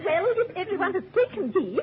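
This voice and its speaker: An aristocratic hostess in a radio play. Her voice is high and very animated, formal and a little fluttery. The sound is muffled, with faint noise. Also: a little fast. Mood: gracious hosting. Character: aristocratic lady hostess, gracious but anxious. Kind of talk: radio drama acting. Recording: muffled, slightly noisy old radio recording.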